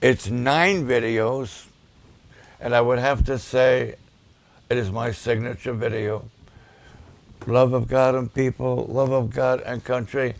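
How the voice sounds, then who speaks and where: Male pastor, raspy and gravelly, unhurried, deliberate preaching delivery. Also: medium pitch, flat delivery. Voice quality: raspy at times. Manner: slow, deliberate, with emphatic repetitions. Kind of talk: preaching and promoting ministry videos to a livestream audience.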